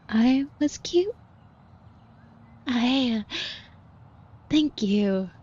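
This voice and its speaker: A woman playing a shy, flustered florist with a crush in an audio roleplay, speaking softly and breathily in a hesitant, apologetic way. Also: fairly high pitch, slightly rough, dull audio, heavily acted. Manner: hesitant, stammering, rambling, apologetic. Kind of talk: intimate roleplay audio acting.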